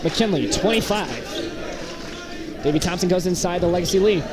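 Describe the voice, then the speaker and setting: Male play-by-play announcer, high voice, clipped live basketball calls, very loud gym crowd. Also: moderate pace. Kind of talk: live basketball radio commentary. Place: indoor basketball gym, up behind a balcony. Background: crowd, bouncing basketballs.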